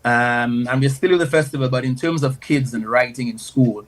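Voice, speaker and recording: A man hosts a radio talk show with a mid-pitched, everyday voice. He talks loosely and conversationally, with fillers as he leads into his questions. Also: normal speed.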